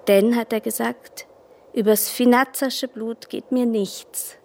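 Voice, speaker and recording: An actress playing a lively, artless young farm girl in a German radio play, with eager, unguarded rustic speech. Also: on the high side, takes it slowly, vocal fry, full character voice. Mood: chatty, proud.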